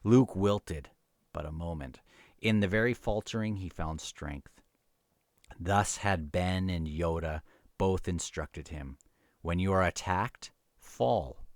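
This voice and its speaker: A man's voice: sits low, slow, a little mellow, dry recording, clean studio sound. A man casually discussing a book and reading passages aloud, often stumbling and repeating phrases as he goes.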